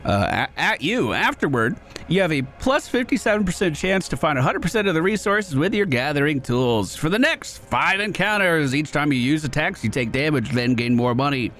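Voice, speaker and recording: Male gaming streamer: chatty, informal, higher-than-average voice with very varied intonation. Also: big pitch swings, normal speed, a bit bright. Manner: chatty, informal, reads game text aloud. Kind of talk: casual gameplay commentary.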